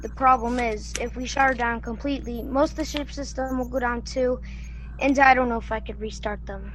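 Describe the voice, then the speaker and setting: A woman playing a cautious, deliberate young tech-minded colonist in a student sci-fi radio play. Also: a little high, steady tone, a bit bright, natural voice. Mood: determined.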